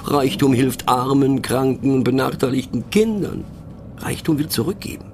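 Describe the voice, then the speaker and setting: A man's voice: mid-range voice, expressive, moderate pace, darkish tone, slight background noise, lightly acted. A man playing a pensive, mocking narrator in a satirical radio play monologue, speaking ironically with conversational, questioning asides. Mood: wry, mocking. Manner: ironic, rhetorical, punctuated with little questioning tags. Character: wry, pensive, mocking male narrator musing on words.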